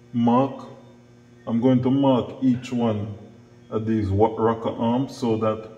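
Male workshop instructor, middle-aged, low mellow voice, explanatory, recorded on a camera mic in a bare room. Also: lo-fi sound. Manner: plain-spoken, explanatory, step by step. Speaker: mechanic giving instruction. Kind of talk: hands-on tutorial narration. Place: small bare-walled indoor auto workshop. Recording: echoey hard-walled room, noticeable background noise.

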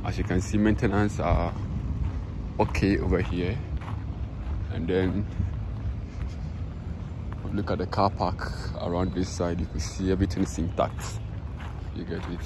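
A man's voice: low-pitched, level tone, measured pace, long pauses. A man with a Ghanaian accent hosting an informal walking tour for a sports channel, often checking in with viewers as he talks. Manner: informal, frequent "you understand" check-ins. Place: outdoors, open stadium grounds and car park. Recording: outdoor handheld recording, loud ambient noise.

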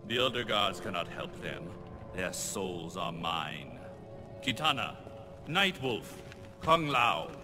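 An actor playing a sinister, smug underworld sorcerer, menacing and formal, as a video game villain. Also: male voice, low voice, lively intonation, slow. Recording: game cutscene, loud music underneath.

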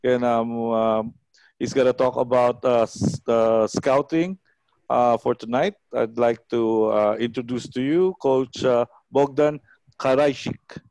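Halting Filipino man, dry close sound. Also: medium pitch, steady tone, a little mellow, dry recording. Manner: halting, hesitant delivery. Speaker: host of an online coaching talk. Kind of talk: informal hosting and introductions. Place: small room at home. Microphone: headset.

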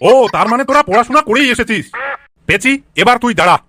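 A man voicing a bossy, exasperated male cartoon schoolteacher, with his voice pitched up. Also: animated, fast, pushed and bright, no echo, heavily acted. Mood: questioning. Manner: scolding, questioning. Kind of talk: comic cartoon dialogue.

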